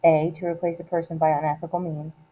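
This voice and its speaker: Mellow, lowish-voiced woman reading aloud deliberately. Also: flat delivery, slight background noise, low-quality recording. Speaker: teacher reading a test aloud. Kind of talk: read-aloud of multiple-choice questions and quoted play lines.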